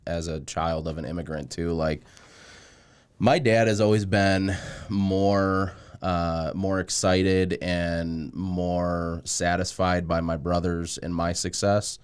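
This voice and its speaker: Guy hosting a podcast, low smooth voice, super casual and supportive. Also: steady tone, slow. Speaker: podcast host.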